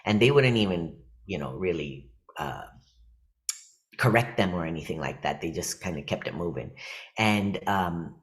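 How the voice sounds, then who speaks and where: Deep-voiced guy from california doing a chill workshop talk on a video call, lots of ums and likes. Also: lively intonation, normal speed. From California, USA. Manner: conversational and reflective, loose with fillers and restarts. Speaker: workshop presenter and comedian. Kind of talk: casual talk to an online workshop.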